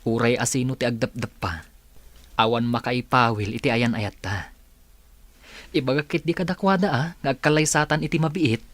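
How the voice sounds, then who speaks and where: Husky-voiced lovestruck male suitor played by an actor. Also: mid-range voice, moderate pace, a bit bright, dry recording, close to an everyday voice. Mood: happy, devoted. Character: lovestruck earnest gentleman suitor, a boss. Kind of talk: Ilocano radio drama acting.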